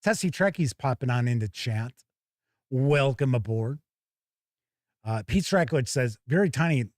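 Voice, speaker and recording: A man's voice: mid-range voice, lively intonation, measured pace, no room sound, clean studio sound. A man hosting a tabletop gaming show, giving chatty, casual live commentary as he looks over products and talks with viewers.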